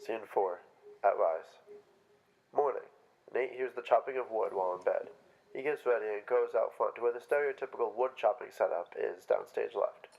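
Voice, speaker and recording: A man's voice: fairly low pitch, moderate pace, gravelly, darkish tone, muffled recording. A man reading stage directions aloud as scripted narration at a staged play reading.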